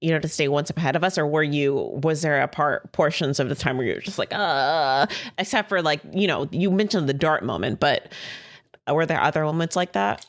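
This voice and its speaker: A woman's voice: sits low, brisk. A woman, a player, chatting casually and expressively. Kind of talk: casual chat.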